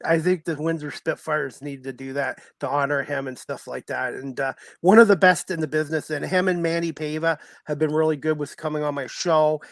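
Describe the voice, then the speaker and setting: A man hosting a sports talk show, chatting casually with loose, halting phrasing and repeated stock phrases. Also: fairly high pitch, steady tone, creaky, no room sound. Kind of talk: casual interview chat.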